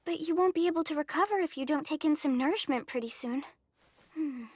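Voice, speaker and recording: Anime dub role: woman plays a shy, nurturing mountain girl, extremely high and gentle. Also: fairly quick, lightly acted. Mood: gentle, caring. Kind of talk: English anime dub acting.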